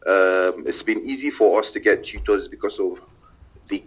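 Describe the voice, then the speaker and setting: Low-voiced man, startup founder in interview, halting with fillers, dull lo-fi audio. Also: husky. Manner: hesitant, many fillers and repeated words. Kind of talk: casual interview.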